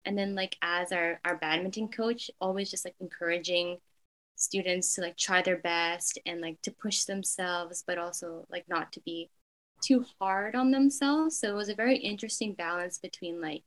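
Soft-spoken young woman, filler-heavy. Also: lowish voice, normal speed, rough recording. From Canada. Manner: frequent "like" fillers, soft and hesitant. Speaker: interviewee. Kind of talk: casual reflective interview.

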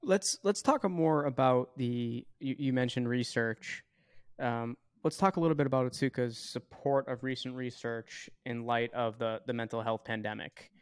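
A man's voice: medium pitch, normal speed, clear, resonant tone, no room sound, clean studio sound. A man hosting a podcast interview, asking questions in a friendly way and stumbling over his words a little. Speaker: podcast host.

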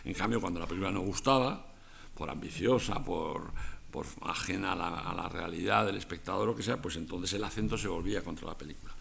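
A man's voice: sits low, a little fast, gravelly, a bit bright. An older man from Spain, giving a conversational lecture on film, digressive with frequent restarts and rhetorical asides.

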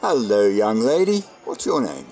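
A man's voice: fairly low pitch, very animated, unhurried, darkish tone, soft background music. A man playing a kindly, merry old department-store Santa in an old-time radio play, with a genial, hesitant way of talking. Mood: gentle, playful. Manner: chuckling, hesitant repetitions, genial.

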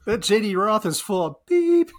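A woman's voice: medium pitch, big pitch swings, normal speed. Teasing woman podcast host. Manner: teasing, jokey. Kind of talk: casual chat.

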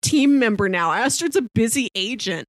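A woman's voice: on the high side, lively intonation, normal speed, dry recording. A woman co-hosting a casual podcast discussion of a TV show, talking in an enthusiastic, appreciative way. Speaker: podcast co-host.

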